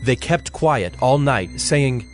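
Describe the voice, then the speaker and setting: Measured male scripture narrator over music. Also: medium pitch, expressive. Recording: dry studio with music underneath.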